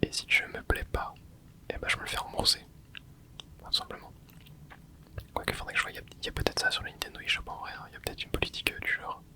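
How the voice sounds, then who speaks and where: An ASMR creator giving soft, whispered commentary while playing a video game, rambling and hesitant with lots of fillers and restarts. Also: fast talker, pauses often. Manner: soft unvoiced whisper throughout.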